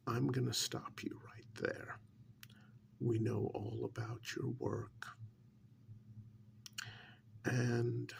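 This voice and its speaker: A man playing a calm, probing government agent in an ASMR role-play, speaking in a soft breathy half-whisper with a measured, condescending manner. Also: mid-range voice, full character voice. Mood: smug, controlled. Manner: measured, condescending, deliberate with long pauses.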